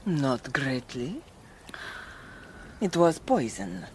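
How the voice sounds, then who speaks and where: Slow, brooding countess. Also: female voice, extremely low pitch, big pitch swings, a little mellow, a little hiss or hum, full character voice. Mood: resigned, grave. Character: world-weary Italian countess confessing to a murder. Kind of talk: radio drama acting.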